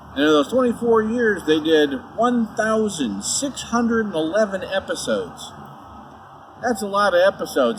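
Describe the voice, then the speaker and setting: A man presenting a scripted introduction in a storytelling style, as the host of a tribute program. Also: sits high. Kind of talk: scripted narration, animated storytelling. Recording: distant mic, clear background noise.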